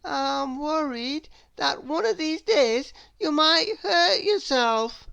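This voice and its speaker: A woman's voice: high voice, lively intonation, creaky, fairly bright tone, dry recording, pitched above the performer's own voice, heavily acted. A woman voicing an anxious, fretful little storybook man who worries plaintively about everything. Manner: worried, plaintive, drawn-out delivery.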